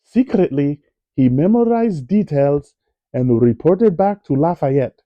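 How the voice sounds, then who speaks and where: A man reading a children's biography aloud in a slow, clear storytelling manner. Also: on the high side, lively intonation, dry recording, lo-fi sound. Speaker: read-aloud narrator. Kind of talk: children's book read-aloud.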